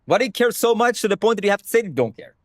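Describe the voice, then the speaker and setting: Young man, native Hebrew speaker, blunt and emphatic, lively swooping pitch, dry close sound. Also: rapid-fire, dry recording. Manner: blunt, emphatic, swears freely. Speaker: streamer answering chat. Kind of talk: casual livestream rant.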